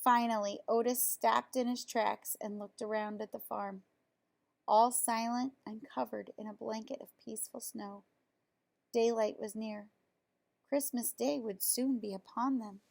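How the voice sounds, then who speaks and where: A woman reading a children's picture book aloud in an expressive way, as if to young listeners. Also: a little high, unhurried, creaky. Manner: expressive, explains words simply to children. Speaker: storybook reader.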